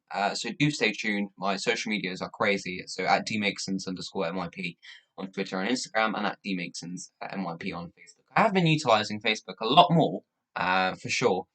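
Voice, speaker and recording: A young man from Latvia, a native Russian speaker, chatting casually as a livestream host in a rambling, halting way. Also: lowish voice, fairly bright tone, rough recording. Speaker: livestream host and youth charity campaigner. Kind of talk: casual livestream chat, thanking viewers.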